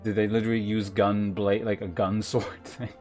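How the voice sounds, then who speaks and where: A man livestreaming a video game, chatting casually and reading aloud, thinking out loud in a rambling way with wry asides. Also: lowish voice, flat delivery, normal speed. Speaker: game streamer. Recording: music behind the voice.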